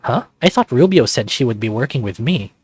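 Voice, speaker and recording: A man's voice: mid-range voice, expressive, fairly quick, breathy edge, no room sound. A man voicing a mildly snarky male furry newcomer at a lab in a visual novel. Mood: uncertain. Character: male furry new lab worker, snarky but eager. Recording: music playing behind close dry voice.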